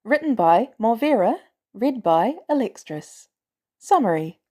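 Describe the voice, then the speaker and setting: A woman reading scripted fiction as a podfic narrator, with expressive, dramatic emphasis. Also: fairly high pitch, a little slow.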